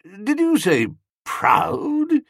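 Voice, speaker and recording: Casting: male reader as pompous older magistrate uncle, stiff and spluttering, husky, wide-swinging delivery, comic storybook. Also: mid-range voice, huge pitch swings, takes it slowly, fairly bright tone, dry recording, studio quality, heavily acted. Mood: incredulous, hopeful. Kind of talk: comic audiobook character.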